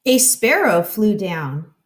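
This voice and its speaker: A woman narrating a children's story as a teacher reading aloud, expressive and explanatory as if addressing children. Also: medium pitch, slow.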